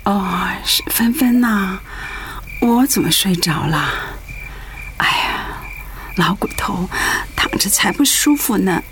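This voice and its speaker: An actress playing a frail elderly mother in a radio drama, breathy and whispery, fretful and fondly nagging. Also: mid-range voice, animated, takes it slowly. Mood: drowsy, fondly nagging. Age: older. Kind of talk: Mandarin radio drama acting. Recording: faint noise, night crickets and frogs behind.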